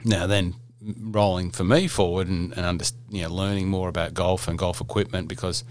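Middle-aged male podcast host, low voice, rambling and jokey, loose casual delivery. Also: steady tone, fairly quick, a little mellow. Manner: chatty, rambling, jokey, many fillers and restarts.

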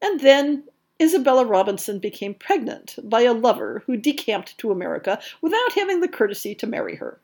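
A woman with a fairly high voice narrates a scripted history piece as a podcast host. Her intonation is very animated, rising and dipping across phrases, while her delivery stays measured and careful, like prepared storytelling.

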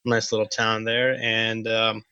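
A man's voice: on the low side, monotone, measured pace, pushed and bright, clear, resonant tone, no room sound, dull audio. A man in his twenties from Tennessee, a guest on a radio talk show, chatty and rambling with frequent "you know" fillers. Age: 20s. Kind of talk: casual conversation.

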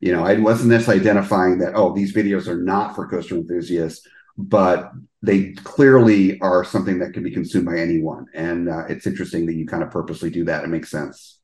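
A man's voice: low voice, steady tone, brisk, darkish tone, roomy sound. A man hosting a podcast interview, chatting casually and rambling with many restarts. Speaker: podcast host.

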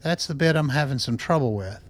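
An actor playing a thoughtful, cautious aging scientist in a radio play, talking in a measured, gently wry way. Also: male voice, mid-range voice, animated, lightly acted. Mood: careful, practical. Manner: measured, reflective.

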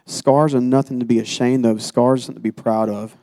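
A man's voice: mid-range voice, fairly even intonation, brisk, dark tone. A man giving a sermon as personal testimony in an earnest, exhorting manner. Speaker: guest preacher giving a testimony.

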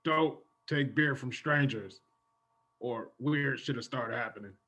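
A performer voicing a gruff cartoon crime dog mascot in a slow public-service announcement manner. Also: medium pitch, a bit bright. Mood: gruff, warning. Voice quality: gruff, husky.

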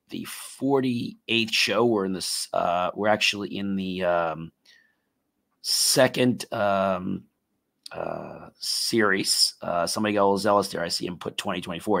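Tired raspy guy hosting a tech show, lowish voice, lots of uh and um. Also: unhurried, fairly bright tone. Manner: rambling, many fillers and restarts. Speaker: host of a tech talk show. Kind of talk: casual live show hosting.

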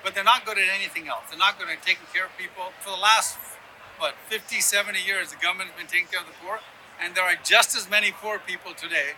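High-pitched, very bright-voiced man explaining his views. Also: fast, a little hiss or hum, low-quality recording. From born in Ghana, grew up in Sudan, Yemen and Ethiopia. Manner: opinionated, explanatory, often tags statements with "right?". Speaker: interview guest, conservative activist. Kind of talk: conversational political explaining in an interview.

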